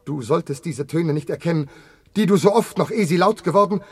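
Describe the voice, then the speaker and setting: High-voiced anguished Amphitryon, in radio drama. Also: male voice, brisk, slightly rough, no room sound. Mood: pleading, anguished. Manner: impassioned, declamatory. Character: Amphitryon, anguished proud betrayed Theban general and husband. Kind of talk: classical verse radio drama acting.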